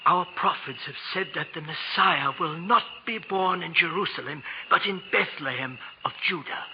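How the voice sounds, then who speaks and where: A muffled, reverberant old radio recording holds a man acting a frail, devout sick man. His voice is rather high, and he speaks in halting, formal biblical phrases, full of gratitude. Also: noisy background, lightly acted. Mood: grateful, reverent. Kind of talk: 1940s radio drama acting.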